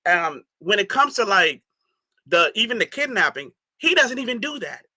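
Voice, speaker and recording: A man from the Bahamas speaks as an interview guest in an emphatic, casual way. His voice sits fairly high and rings with a very bright, forward tone. The sound is dry and close. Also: sing-song, moderate pace, no echo. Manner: emphatic, repeats "you see what I'm saying".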